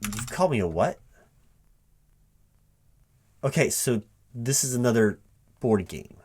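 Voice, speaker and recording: A man's voice: mid-range voice, expressive, a little slow, darkish tone, slightly rough. A man playing a flustered but polite recruiting manager in a one-sided ASMR interview roleplay, hesitating, repeating words and asking puzzled questions. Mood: bemused, questioning. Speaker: job interviewer. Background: computer keyboard typing.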